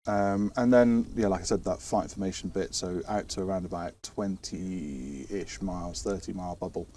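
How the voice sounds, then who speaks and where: A man's voice: deep voice, moderate pace, warm tone. A British man chatting informally as he explains technical air traffic control work, hesitating and restarting words as he goes. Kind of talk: informal explanatory interview chat. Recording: distant mic, faint background noise and ambience.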